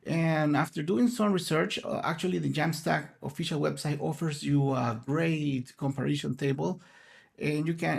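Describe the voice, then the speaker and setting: Spanish-speaking man with a gravelly voice. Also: a little high. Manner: earnest, explanatory, searching for words. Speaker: conference speaker. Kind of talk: online conference presentation.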